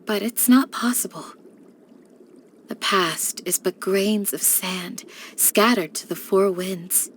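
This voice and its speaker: A woman voicing a calm, devoted young desert village guardian in a video game, speaking in a composed, measured, gracious way with formal phrasing. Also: medium pitch, a little hiss or hum, natural voice. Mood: solemn. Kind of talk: scripted video game character voice lines.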